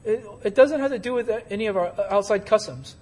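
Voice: medium pitch, fast talker, darkish tone. An interpreter rendering a Chinese sermon into English line by line, hesitant at times. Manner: hesitant, with fillers and restarts while translating.

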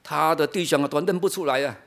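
A middle-aged man from China preaching a sermon in short, explanatory phrases, pausing for an interpreter and posing rhetorical questions. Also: fairly high pitch, a bit bright.

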